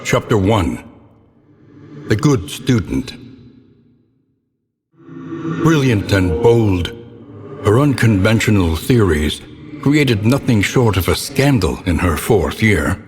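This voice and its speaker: An actor playing a grave, ominous narrator of gothic horror tales in a video game, with a solemn, theatrical and deliberate delivery. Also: male voice, lowish voice, animated. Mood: grave, macabre. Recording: long cavernous reverb, noticeable background noise.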